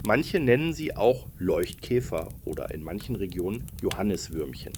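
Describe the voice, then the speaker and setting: A man's voice: low-pitched, big pitch swings, moderate pace, a little hiss or hum. A man narrating a scripted bedtime story for children, explaining things and addressing them directly.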